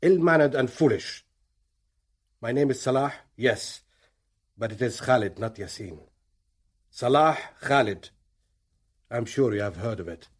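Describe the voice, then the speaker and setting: Courteous, quietly menacing militant leader. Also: male voice, medium pitch, husky. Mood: silky, menacing. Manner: measured, formal, quietly menacing. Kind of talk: radio drama acting.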